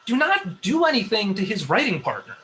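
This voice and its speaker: A man as a bright-voiced, exasperated staffer. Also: high-pitched, huge pitch swings, a little fast, slight background noise, full character voice. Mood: stern. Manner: dry, sarcastic. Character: exasperated, sensible male talk show staffer. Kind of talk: comedy script table read.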